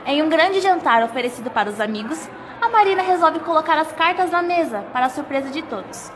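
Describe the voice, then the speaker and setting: A woman's voice: sits high, animated, fast talker, fairly bright tone, long reverb tail, a little hiss or hum. A woman narrating an amateur radio play from a script, telling a society melodrama.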